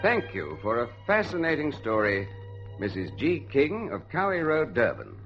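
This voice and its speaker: A man's voice: mid-range voice, huge pitch swings, moderate pace, over loud music. A man presenting a radio series in a formal, scripted style. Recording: dull, noisy old radio recording.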